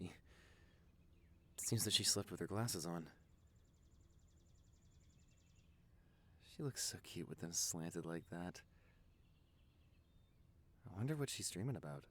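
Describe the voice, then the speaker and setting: A man's voice: sits low, exaggerated voice. A man playing a doting, teasing young boyfriend in an intimate audio roleplay, rambling affectionately and stammering a little. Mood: sleepy, fond.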